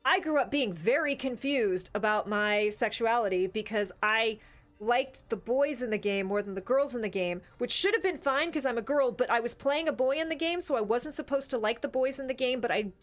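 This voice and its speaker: A woman's voice: a little high, lively intonation, moderate pace, soft background music. An American woman speaking emphatically and casually, sharing opinions as a podcast co-host. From Bible Belt, United States.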